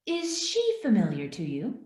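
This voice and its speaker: Ghostly spirit guide, voiced by a woman, calm and solemn, expressive pitch, gently questioning, roomy echo, radio drama. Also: measured pace. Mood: gently probing. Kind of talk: radio play acting. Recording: roomy, echoing voice.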